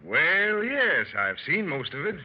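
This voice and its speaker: Animated, husky 1930s radio adventurer. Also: male voice, a little high, unhurried, full character voice. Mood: wry storytelling. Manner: wry, animated storyteller. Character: plucky gentleman adventurer telling his dangerous exploits. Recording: muffled 1930s radio broadcast.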